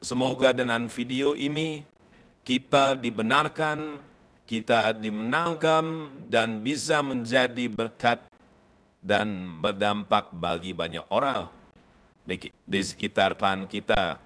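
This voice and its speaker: A man's voice: mid-range voice, fairly bright tone. A man hosting a church channel, giving formal greetings and blessings before a testimony video. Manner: slow, solemn greetings and blessings. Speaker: church channel host and reporter. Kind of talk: formal scripted introduction.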